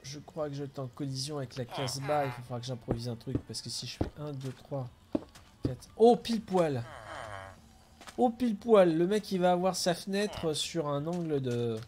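A man's voice: fairly high pitch, lively intonation, normal speed, a little mellow, faint music behind. A middle-aged man chatting casually as he streams a building game, thinking aloud and chuckling often. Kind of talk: casual gameplay commentary.

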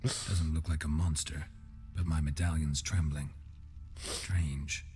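Casting: deadpan monster hunter for fantasy game, extremely deep, gravelly, dark tone. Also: male voice, takes it slowly, faint music behind. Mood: stoic. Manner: deadpan, terse. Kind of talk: video game dramatic dialogue.

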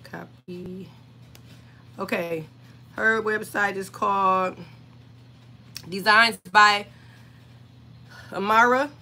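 A woman in her forties, hoarse and scratchy from being sick, chatting on a livestream from her home craft room and greeting viewers by name. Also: mid-range voice, fairly even intonation, extremely slow, pauses often, pushed and bright. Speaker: craft channel host.

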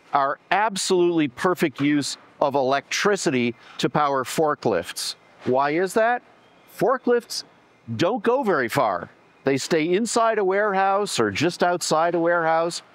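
An older man presenting outdoors in a plain, conversational way, gesturing as he explains. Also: a little high. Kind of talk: explainer talk to camera. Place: outdoors by solar panels and at a winery.

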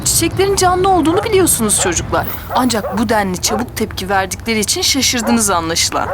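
Lively high-voiced cartoon mother acted by a woman. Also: brisk, background noise, lightly acted. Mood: explaining. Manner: motherly, explanatory. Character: caring, hospitable mother of a young boy. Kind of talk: animated children's cartoon dubbing.

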